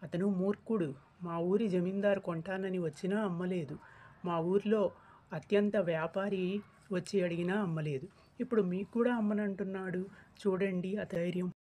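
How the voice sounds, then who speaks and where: A woman's voice: fairly low pitch, fairly even intonation, moderate pace, dark tone, natural voice. A woman voicing a deferential, gossipy village headman in a cartoon story, with an obsequious, subdued manner. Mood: scornful. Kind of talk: acted cartoon story dialogue.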